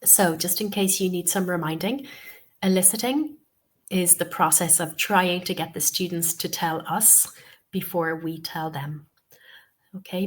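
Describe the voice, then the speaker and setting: A woman with a slightly low voice and a sniffly cold leads an online teacher-training webinar. She explains things patiently, keeps checking in with "okay" and comes back to the same points more than once. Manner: patient, repeats key points, many "okay"s and "um"s. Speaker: TEFL course tutor. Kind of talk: webinar instruction.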